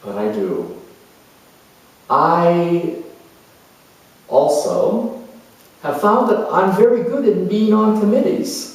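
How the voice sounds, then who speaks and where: Very echoey far-off recording, man performing as reflective artist, slow pace, halting musing monologue, expressive pauses. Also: sits high. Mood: proud, amused. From New Zealand. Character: creative, sensual, reflective middle-aged male sculptor, spiritual seeker. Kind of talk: dramatized first-person monologue before an audience or room. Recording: very echoey room, distant microphone.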